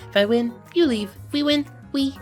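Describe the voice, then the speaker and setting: A woman voicing a composed, condescending villain lieutenant from a video game, measured and coolly formal. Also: high voice, darkish tone, faint music behind, lightly acted. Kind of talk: video game character reel.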